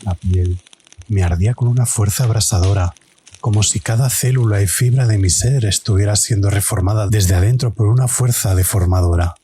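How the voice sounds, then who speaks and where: A man's voice: lowish voice, normal speed, mellow, slight background noise, natural voice. A man playing a suffering hero of a supernatural tale, narrating an audio drama in the first person. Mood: agonised, intense. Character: suffering young male hero surviving a supernatural trial.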